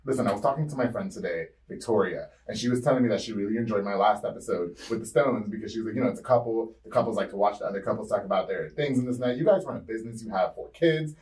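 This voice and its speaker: A man's voice: lowish voice, racing, few pauses, a little mellow, far from the mic, low-quality recording. A man in his late twenties hosting a podcast interview, joking, teasing his guests and laughing often. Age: late 20s. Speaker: podcast host. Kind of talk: casual interview chat.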